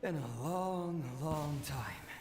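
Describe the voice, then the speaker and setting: Game villain: rowdy possessing sword spirit, high for male, drawn-out delivery, reverberant, noisy. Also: expressive. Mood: exhilarated. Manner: challenging, exultant. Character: boisterous battle-hungry sword-master spirit possessing a man. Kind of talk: video game voice acting.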